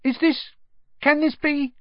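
Old bald barman , played by a male narrator; raised pitch, hushed reverence. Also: huge pitch swings, moderate pace, slightly rough, no echo, lo-fi sound, heavily acted. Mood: awed.

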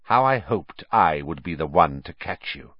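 A man's voice: deep voice, lively intonation, unhurried, no echo, lo-fi sound, voice pushed down. A man voicing a sneering, vindictive wizard teacher in an audiobook, menacing and sarcastic, his delivery silky, hissing or spitting. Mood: whispered menace. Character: sneering, vindictive, fanatical male wizard schoolteacher.

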